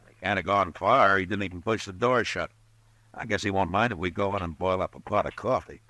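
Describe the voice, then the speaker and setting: An actor playing a steady, authoritative frontier marshal in an old radio drama. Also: male voice, sits low, fairly quick, no echo. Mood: calm. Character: steady frontier marshal, middle-aged man. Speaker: lead actor. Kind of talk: 1950s radio drama acting. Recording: old radio broadcast recording.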